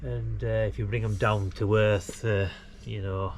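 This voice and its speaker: A man's voice: on the low side, flat delivery, a little slow. Laid-back man, noisy outdoor recording. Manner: rambling, laid-back, colloquial, thinking aloud. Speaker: amateur radio hobbyist filming a DIY video. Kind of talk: casual chat while demonstrating DIY. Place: outdoors beside a brick house wall.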